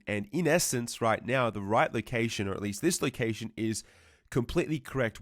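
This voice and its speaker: A man's voice: mid-range voice, no room sound, clean studio sound. Congested man explaining market charts. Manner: explanatory, repeats and rephrases himself, says "right" often. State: congested, a little fluey. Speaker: trading educator. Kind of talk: market analysis commentary.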